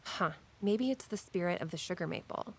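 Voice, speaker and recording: A woman's voice: lowish voice, expressive, fairly quick, vocal fry, breathy edge, heavily acted. A woman playing an earnest, caring, mystically minded tour guide and daughter in an audio drama, speaking conversationally. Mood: earnest, intuitive.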